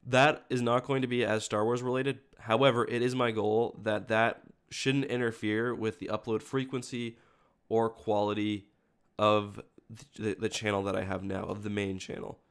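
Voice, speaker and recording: A man with a fairly low, smooth voice, a little congested from a cold. He talks casually as a streamer addressing his viewers. His phrasing rambles and doubles back as he corrects himself. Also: level tone, normal speed. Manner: rambling, self-correcting, stop-start phrasing. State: has a cold, sniffly congested voice. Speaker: video creator hosting a livestream. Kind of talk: casual livestream chat with viewers.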